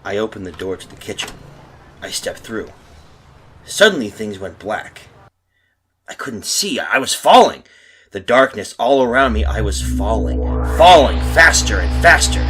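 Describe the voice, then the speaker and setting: An actor playing a tormented lost soul in an old-style radio drama, husky, halting and melodramatic. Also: male voice, on the low side. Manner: melodramatic, halting, repeating himself. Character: tormented lost soul, once a family man. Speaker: radio drama lead narrator. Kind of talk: 1930s radio drama acting.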